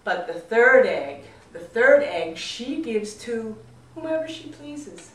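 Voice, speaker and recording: Slow, dramatic woman storyteller in echoey room. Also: a little high, sing-song. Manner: deliberate, dramatic phrasing. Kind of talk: expressive folk tale narration. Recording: roomy echo, distant mic.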